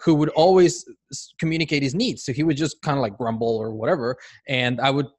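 A man's voice: mid-range voice, steady tone, fairly quick, darkish tone, no room sound. A man in his forties with a Mexican accent, hosting an interview over a video call at a stand microphone, chatty and often restarting sentences. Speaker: podcast host.

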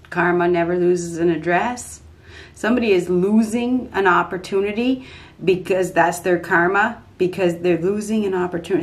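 A woman in her fifties giving an unscripted tarot reading at a desk in a small dark room, repeating herself emphatically as she thinks aloud. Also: lowish voice. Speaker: tarot reader.

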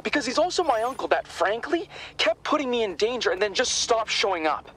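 A man's voice: very high-pitched, very animated, brisk, background noise. An actor playing a sensible, exasperated young man, dry and reproving, in conversational game dialogue. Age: young adult. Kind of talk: conversational game cutscene acting.

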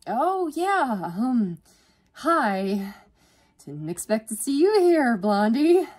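A woman's voice: sits low, a little slow, a little mellow. Woman voicing a snappish young college girl. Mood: flustered. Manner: snappish, sarcastic. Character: snarky, irritable young female college student. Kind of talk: audiobook character dialogue.

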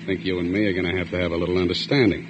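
An actor playing a cold, sneering escaped convict bent on revenge, in a 1940s radio drama. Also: male voice, low-pitched, steady tone, rapid-fire, close to an everyday voice. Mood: menacing. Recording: dull old radio recording with loud hiss.

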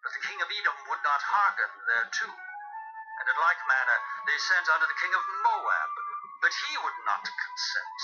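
An actor playing an impassioned warrior leader of ancient Israel in a dramatized scripture reading, declaiming emphatically in archaic diction. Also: male voice, a little high, sing-song, moderate pace. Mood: indignant, forceful. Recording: muffled lo-fi audio with music.

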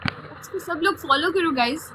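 A woman's voice: very high-pitched, normal speed, pushed and bright, slight background noise, low-quality recording. A young woman with a sore throat chatting lightly as a guest on a live stream, speaking into a phone. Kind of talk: casual live stream chat. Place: indoor room, video call.

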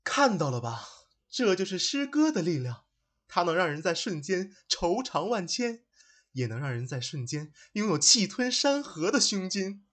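一位男声演绎的夸张激昂的诗人. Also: male voice, sits high, very animated, normal speed, heavily acted. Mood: earnest, grand. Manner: declamatory, swings into shouting recitation. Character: theatrical, overwrought poet teacher, melodramatic young man. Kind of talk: Chinese audio comedy drama acting.

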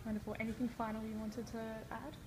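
A woman's voice: fairly high pitch, level tone, fast, darkish tone. A woman asking casual questions as an interviewer. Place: large indoor hotel lobby. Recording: noisy room ambience.

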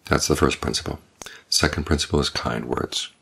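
An older man, a Buddhist monk, giving a calm, measured evening Dhamma talk with unhurried pauses. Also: rumbling low, creaky, slightly rough. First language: English.

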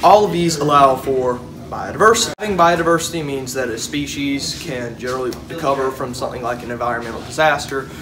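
A teenage boy recites a school presentation in a steady, earnest way, standing by a classroom whiteboard. The mic sits some way off from him, and voices chatter clearly in the room. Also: medium pitch, quick pace, distant mic, low-quality recording. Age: teens. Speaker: student presenter.

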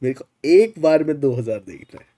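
A man's voice: fairly high pitch, animated, fairly quick, warm tone, no echo. A man, bantering casually on a game livestream in a teasing, mock-indignant, exclamatory manner. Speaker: game streamer. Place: small room indoors.

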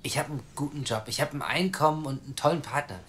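An actor playing an earnest, self-assured journalist, articulate and persuasive, in a naturalistic audio drama. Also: male voice, mid-range voice, quick pace, fairly bright tone, a little hiss or hum, lightly acted. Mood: insistent.

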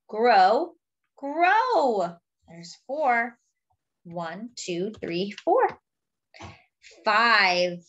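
A young adult woman reading a picture book aloud to small children, addressing them in a deliberate sing-song way. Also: mid-range voice, fairly bright tone, lo-fi sound. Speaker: children's story reader.

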